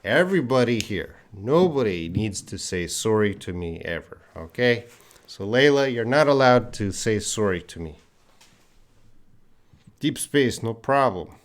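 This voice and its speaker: A middle-aged man with a light Canadian accent teaches English on a live stream. He speaks slowly and patiently in a lowish voice, repeating words clearly so learners can follow along. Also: animated.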